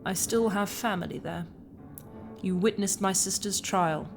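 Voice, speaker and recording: A woman's voice: mid-range voice, a little slow, natural voice. A woman playing a towering, stern alien healer in an audio drama, sardonic and bitter, with an imperious, blunt delivery. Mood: grave, probing. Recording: music behind the voice.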